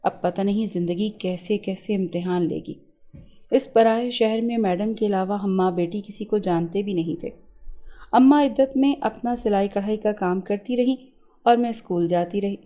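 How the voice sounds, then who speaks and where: A woman narrates a scripted, emotional story in the first person. Her voice sits at a middle pitch for a woman and has a warm, mellow, relaxed tone.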